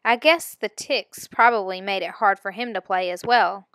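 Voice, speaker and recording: A woman reading a first-person children's story aloud in an expressive, storytelling manner. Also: medium pitch, moderate pace, no echo, clean studio sound. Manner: expressive, acting out dialogue. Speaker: reader of a children's novel.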